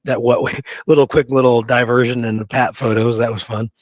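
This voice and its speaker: A man's voice: medium pitch, little pitch movement, a little fast, dry recording. A man co-hosting a casual history chat, speaking in a colloquial, opinionated way. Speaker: co-host of a history show. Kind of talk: casual chat about Old West history.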